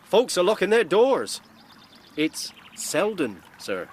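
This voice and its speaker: An actor playing a wary, deferential local carriage driver in a radio drama, halting in his speech. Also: high-pitched, huge pitch swings, exaggerated voice. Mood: nervous, deferential.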